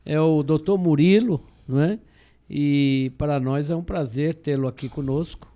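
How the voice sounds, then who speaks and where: A man's voice: fairly high pitch, moderate pace, warm tone. A middle-aged man co-hosting a podcast, talking in a jovial, easygoing way. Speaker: podcast co-host, host's father.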